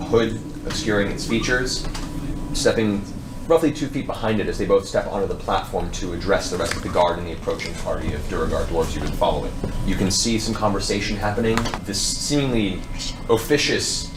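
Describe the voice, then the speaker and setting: A man acting as game master, improvising descriptive narration for a tabletop fantasy game with deliberate phrasing and dramatic pauses. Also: sits low, noisy background. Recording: roomy, distant mics with music underneath. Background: background music.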